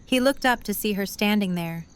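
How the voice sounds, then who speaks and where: A woman's voice: mid-range voice, moderate pace, clean tone. A woman reading scripted third-person story narration for an audio drama.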